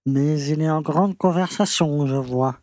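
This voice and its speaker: A man's voice: a little high, a little slow, darkish tone, no echo, lightly acted. Man acting a pompous small-town mayor, dry sound. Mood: dry, dismissive. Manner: pompous, patronizing. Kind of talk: audio drama acting.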